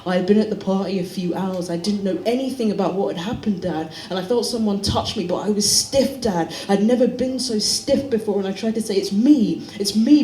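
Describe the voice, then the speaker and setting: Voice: mid-range voice, mellow, lightly acted. A poet voicing a dead young son who pleads with his father, repeating his call to him. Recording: roomy hall, mic far off.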